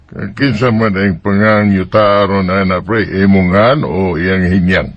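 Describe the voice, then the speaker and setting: A man's voice: sits low, steady tone, unhurried. A man acting a nosy Bisaya drinking buddy who prods with skeptical questions and interjections in a radio drama. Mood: teasing. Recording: dry, dull lo-fi recording.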